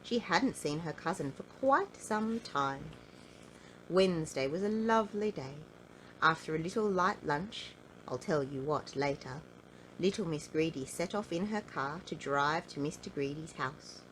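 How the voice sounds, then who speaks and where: Expressive woman reading a children's story, very animated pitch. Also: slight background noise, rough recording. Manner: expressive, playful, drawn-out emphasis on key words. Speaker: storybook reader.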